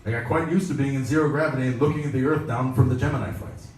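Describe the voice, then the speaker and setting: Guy reading an interview out loud at a live event, super echoey, mic kinda far, bit of noise. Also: mid-range voice, steady tone, very fast. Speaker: editor giving a reading. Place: indoor live pop-up event at a venue. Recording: echoey, noisy room, distant mic.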